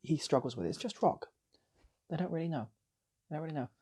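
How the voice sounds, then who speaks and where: A man's voice: on the high side, lively intonation, fast talker, mellow, husky. A man speaking casually as a channel host, in a playful, rambling way with repeated phrases, like improvised comic storytelling.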